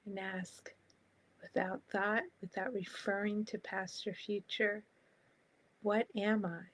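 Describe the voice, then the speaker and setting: A woman guiding a meditation in a calm, soft, spacious manner, leaving long pauses between short phrases. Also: mid-range voice. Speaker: meditation guide.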